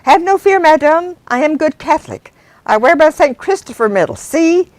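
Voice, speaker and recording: A woman's voice: high voice, lively intonation, moderate pace, darkish tone, no echo, pitched above the performer's own voice. A woman voicing a reckless, cheerful male taxi driver who insists and haggles emphatically.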